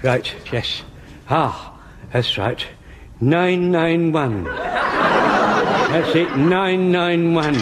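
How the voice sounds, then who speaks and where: A high-pitched old English army corporal from a vintage sitcom. He is excitable and easily muddled, repeating himself as he defers to his superiors. The old radio recording is very noisy. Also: very slow, long pauses. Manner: flustered, repetitive, deferential. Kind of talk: British sitcom acting.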